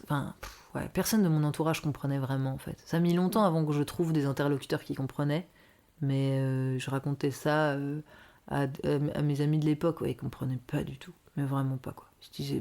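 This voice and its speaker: A young woman with a strong Parisian accent and a notably low voice tells her story in a loose, chatty way. She fills her talk with little hesitations and slips into voices when quoting people. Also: fast talker. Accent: Parisian French. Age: 20s. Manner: animated, many fillers, acts out quoted lines. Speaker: singer and streamer guest. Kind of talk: casual conversational interview.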